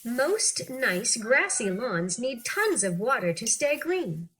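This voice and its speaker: A woman reading an interview text aloud for children in an animated, clearly phrased, teacherly way. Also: on the high side, slow, slight background noise. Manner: animated, clearly phrased, acting out questions and answers.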